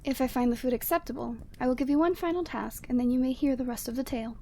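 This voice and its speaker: A woman playing a proud, commanding yeti woman in a scripted ASMR roleplay, speaking in an imperious, measured and formal way. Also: sits high, darkish tone, slight background noise. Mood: pleased, imperious.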